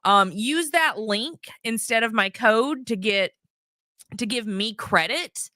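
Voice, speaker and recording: Woman, hoarse, streamer, casual rambling chat, bright, dry. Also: on the high side, lively intonation, slow, no echo. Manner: rambling, many ums and restarts. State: hoarse from yelling.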